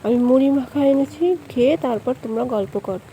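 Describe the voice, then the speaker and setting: A woman voicing a practical village mother who gently scolds in a cartoon story. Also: high-pitched, normal speed, lo-fi sound, a little hiss or hum. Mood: brisk, caring.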